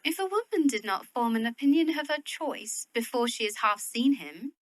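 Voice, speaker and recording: An actress playing a proud, practical young Victorian widow in a period audio drama, speaking in a worldly, opinionated way. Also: female voice, fairly high pitch, lively intonation, normal speed, dry recording, lightly acted. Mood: worldly, musing. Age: young adult.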